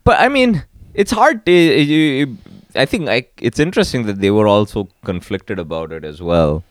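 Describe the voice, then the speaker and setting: A man in casual chat, rambling and halting, often trailing off quietly mid-thought. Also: mid-range voice, lively intonation, no echo, studio quality.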